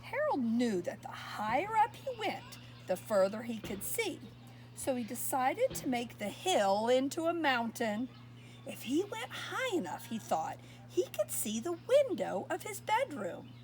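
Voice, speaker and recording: A woman reading a picture book aloud to young children, expressive and pausing to ask her listeners questions. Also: high voice, low-quality recording. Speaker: storyteller.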